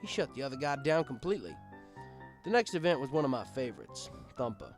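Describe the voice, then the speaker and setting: Guy reading a story out loud, mid pitched voice, dramatic emphasis, music playing behind. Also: very animated, fairly quick. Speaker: audiobook reader. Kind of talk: scripted first-person story narration.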